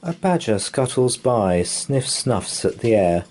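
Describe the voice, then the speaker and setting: A man reading a children's bedtime picture book aloud in a slow, sing-song storytelling manner. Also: mid-range voice, big pitch swings, a little mellow. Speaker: story reader.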